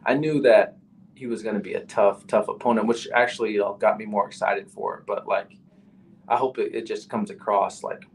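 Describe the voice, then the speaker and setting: Man, nasal, lower voice, relaxed guest chat, faint room noise. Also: brisk, gravelly. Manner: relaxed, full of "you know" and "like". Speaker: battle rapper guest. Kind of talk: casual podcast chat.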